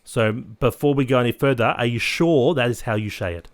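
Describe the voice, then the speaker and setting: A man's voice: medium pitch, fairly quick, natural voice. Guy doing a cocky vain ladies' man bit, brash, "mate" every other word. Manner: brash, cuts in, says "mate" nearly every line. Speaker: comedic character guest.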